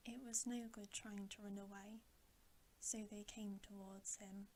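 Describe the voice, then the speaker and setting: Woman, ASMR storybook reader, hushed whisper, unhurried, very even intonation, gentle. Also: medium pitch, a little hiss or hum. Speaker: ASMR channel host reading a bedtime story.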